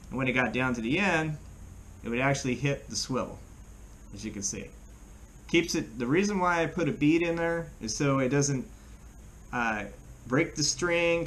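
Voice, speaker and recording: A middle-aged American man giving a casual how-to demonstration in a plain-spoken, halting way, recorded indoors by a camera across a table. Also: fairly high pitch, fairly bright tone. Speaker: fishing channel host. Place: small room at home, indoors. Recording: clear background room noise.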